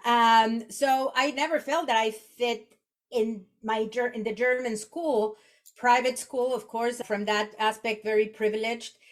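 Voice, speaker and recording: Mexican-accented woman, halting and conversational. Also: fairly high pitch, fairly even intonation. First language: Spanish. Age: 40s. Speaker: podcast guest, executive coach. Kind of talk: conversational interview, personal reflection.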